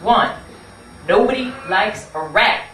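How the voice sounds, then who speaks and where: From across an echoey room, a woman hosts a puppet show as its storyteller. Her delivery is folksy and playful, with very lively swings in pitch as she speaks straight to the listeners. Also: slow pace, loud music behind the voice. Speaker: narrator and host of a puppet show. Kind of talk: live theatrical storytelling to an audience. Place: live show in a room, away from the mic. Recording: echoey room from far off, noisy.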